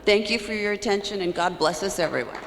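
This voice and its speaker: A woman's voice: mid-range voice, animated, fast. Woman student reading a formal speech, wry, echoey hall. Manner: earnest with wry humor. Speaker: student speaker. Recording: reverberant hall with faint room noise.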